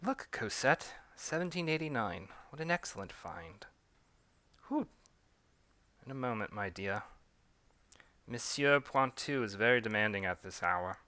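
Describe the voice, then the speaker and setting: A deferential British manservant, as a man puts him on, speaking softly and politely. The tone is bright and smooth, recorded dry and close. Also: mid-range voice, lively intonation, measured pace, long pauses, no echo. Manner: polite, deferential, soft-spoken. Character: dutiful male British butler servant.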